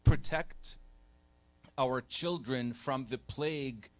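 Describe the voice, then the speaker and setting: A man in his forties, born in Egypt, giving a conversational church lecture on faith and doubt to a live group, often pausing to rephrase. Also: medium pitch. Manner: conversational, pauses to rephrase, takes questions. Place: church hall.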